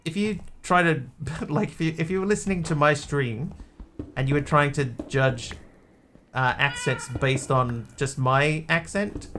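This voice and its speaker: A man's voice: a little high, a little slow, fairly bright tone, a little hiss or hum. A man with a mixed Australian and New Zealand accent, chatting casually as a game streamer and answering his chat. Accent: Australian-New Zealand hybrid. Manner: rambling, easygoing, answers chat.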